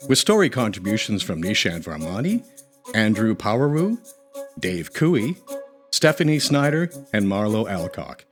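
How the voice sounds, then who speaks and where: A man working as an announcer, reading scripted credits. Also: on the low side.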